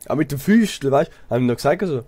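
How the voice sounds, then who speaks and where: A young man with a Swiss German accent chats casually while gaming. His voice is mellow and relaxed, recorded dry and close, and he rambles through stories, often repeating himself. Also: medium pitch, very fast, dry recording. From Switzerland. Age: young adult. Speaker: let's player, apprentice builder.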